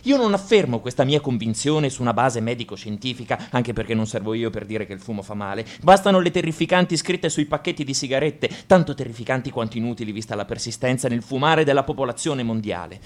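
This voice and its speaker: A man's voice: medium pitch, expressive, fast, hardly any pauses, natural voice. An actor playing an opinionated, self-righteous young male crusader in a radio drama monologue, emphatic and full of rhetorical questions. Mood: exasperated, questioning. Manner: emphatic ranting, rhetorical questions, mock-reasonable. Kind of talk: theatrical scripted monologue.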